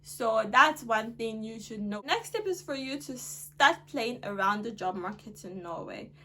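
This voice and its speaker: A woman's voice: on the high side, a little slow, a little hiss or hum. A young Ghanaian woman giving earnest, emphatic advice to camera in a vlog. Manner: earnest, animated, rising to emphatic exhortation. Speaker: vlogger.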